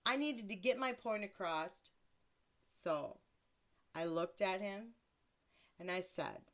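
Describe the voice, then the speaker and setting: A woman in her thirties with a deeper voice, hosting a casual solo podcast in a blunt, crude, self-mocking way and laughing often. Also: expressive, takes it slowly, a bit bright. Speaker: podcast host.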